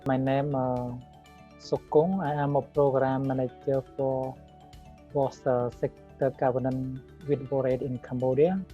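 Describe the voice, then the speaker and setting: A man, a program manager, speaking haltingly with many fillers. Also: mid-range voice, level tone, dark tone, highs cut off, faint music behind. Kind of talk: recorded video statement.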